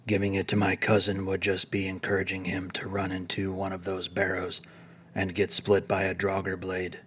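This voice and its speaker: A man voicing a dying old lizard-man, sly and proud, with a husky voice and a theatrical delivery in a dramatized fantasy story. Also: deep voice, monotone, moderate pace, fairly bright tone. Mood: grim, knowing.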